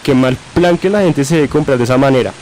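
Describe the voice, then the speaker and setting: A man's voice: mid-range voice, quick pace, darkish tone, lightly acted. A man playing an earnest young grandson in an amateur radio drama. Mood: regretful. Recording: noisy amateur recording.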